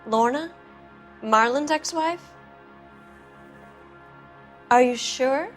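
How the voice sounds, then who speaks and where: Jaded, cool noir wife. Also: female voice, high voice, extremely slow, soft background music. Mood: evasive. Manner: cool, knowing, challenging. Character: jaded, evasive wife of a Hollywood film producer. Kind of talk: 1940s noir game acting.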